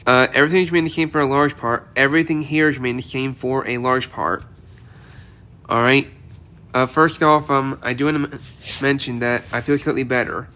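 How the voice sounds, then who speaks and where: A man's voice: mid-range voice, fairly even intonation, brisk, bright, forceful tone. A man with a cold and a stuffy, congested voice, hosting a casual weather outlook update and rambling with frequent restarts and repetition. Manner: rambling, repeats himself with many restarts. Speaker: weather video host.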